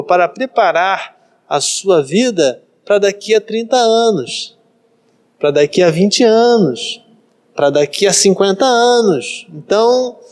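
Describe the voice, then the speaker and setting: High-voiced preaching man in his thirties. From Brazil. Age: 30s. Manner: preacherly, repeats phrases for emphasis. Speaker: pastor. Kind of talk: sermon.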